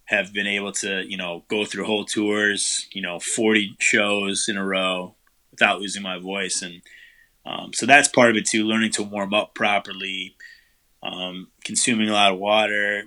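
A man's voice: on the low side, moderate pace. A man, the guest vocalist, answering interview questions casually, with lots of fillers and loose rambling. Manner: relaxed, rambling, many fillers.

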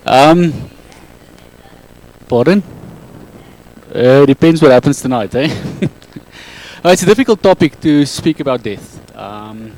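A man in his thirties speaking English with an Afrikaans accent, giving a public talk on a handheld mic in a halting, self-correcting way. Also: medium pitch, animated, darkish tone, poor audio. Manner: halting, self-correcting non-native English with frequent ums. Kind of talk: public talk to a live audience.

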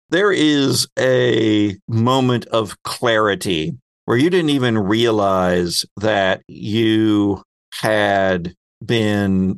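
A man runs a tabletop game as its narrator. He speaks slowly and deliberately, with some fry in the voice, and paints scenes descriptively in a dry recording. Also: on the low side, no echo. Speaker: host and game master.

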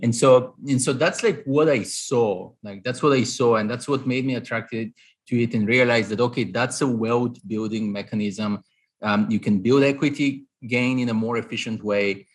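A man's voice: medium pitch, moderate pace, breathy edge. A man in his thirties with a Bulgarian accent, an investor answering interview questions analytically, with many fillers and restarts. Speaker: guest, real estate investor.

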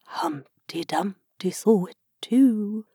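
A woman's voice: mid-range voice, slow, dark tone, slightly rough, no room sound, studio quality. A woman voicing a vague, rambling old storybook queen, muttering absently as if to herself. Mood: musing. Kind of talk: storybook character reading.

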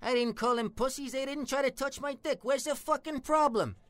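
High-pitched, brash New York tough guy. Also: a little fast, a bit bright, dry recording. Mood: blustering. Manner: brash, profane, streetwise slangy talk. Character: foul-mouthed, bigoted Italian-American tough guy, brash and menacing. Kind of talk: comic dialogue acting.

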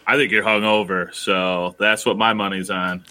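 A man's voice: lowish voice, little pitch movement, moderate pace, sharp tone. A man co-hosting a history podcast in casual chat, joking around and rambling with self-mocking asides. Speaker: podcast co-host.

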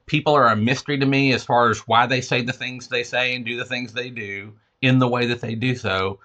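A man's voice: medium pitch, bright, forceful tone. A man with a lisp speaking as a writer being interviewed, giving erudite, discursive explanations and often interrupting himself. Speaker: interview guest, fiction writer and teacher.

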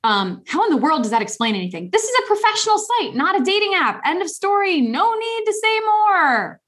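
A woman co-hosting a casual podcast, sarcastic and playful, with animated emphasis. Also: very high-pitched, brisk. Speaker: podcast co-host.

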